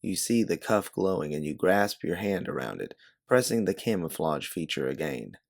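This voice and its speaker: A man's voice: low voice, sing-song, normal speed, gravelly. A man narrating a scripted story in the second person, as its author and storyteller.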